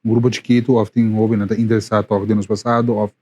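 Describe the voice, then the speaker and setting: A man's voice: on the low side, highs cut off. A man hosting a live morning radio show, chatting casually with many fillers and repeats. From Curaçao. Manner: lively, repetitive, halting with fillers.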